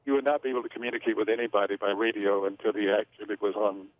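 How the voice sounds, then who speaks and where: A man speaking as an aviation analyst in a news interview, measured and hesitant with many fillers. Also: fairly low pitch, little pitch movement. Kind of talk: phone-in expert interview. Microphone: phone line. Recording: tinny phone line, dry.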